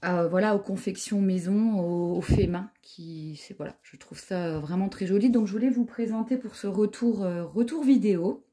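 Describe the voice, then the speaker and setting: Husky, mellow-voiced woman in her forties. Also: medium pitch, moderate pace, few pauses. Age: 40s. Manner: hesitant, many fillers and restarts. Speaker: knitting vlogger. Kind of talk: casual product review chat.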